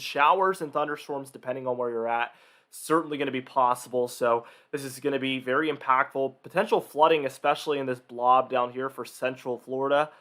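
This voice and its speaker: A man hosting an informal weather briefing, emphatic in his phrasing, his voice slightly nasal or hoarse from a cold. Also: medium pitch, fairly bright tone. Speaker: weather forecaster and video host.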